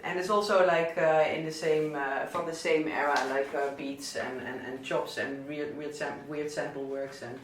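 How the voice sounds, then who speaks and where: A man with a southern Dutch accent talking casually about favourite records, halting often with fillers and restarts. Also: on the high side, fairly even intonation, a little hiss or hum. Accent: Dutch, southern Brabant. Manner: hesitant, many fillers and restarts. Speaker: DJ and record selector. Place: indoor listening session. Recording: echoey room, mic at a distance.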